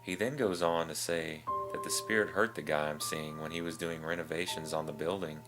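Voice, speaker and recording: Male narrator for creepy true-story readings, low-pitched, over a bed of plucked guitar music. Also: steady tone, brisk. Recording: music behind the voice.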